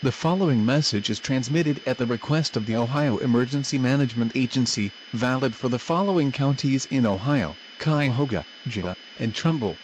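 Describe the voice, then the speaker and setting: A man's voice: medium pitch, brisk, few pauses. Man reading emergency alerts, noisy recording. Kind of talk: scripted emergency broadcast announcement.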